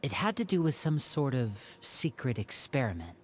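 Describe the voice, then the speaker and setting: Composed young man with a lively lilt, voiced by a man. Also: medium pitch, normal speed, a little hiss or hum, natural voice. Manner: calm, reasoned, reassuring. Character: poised young man, calm and persuasive. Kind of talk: video game cutscene dialogue.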